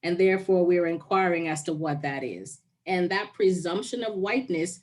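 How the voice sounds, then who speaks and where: A woman's voice: lowish voice, moderate pace, a little mellow. A woman speaking earnestly and with emphasis in a group discussion on a video call. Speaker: participant.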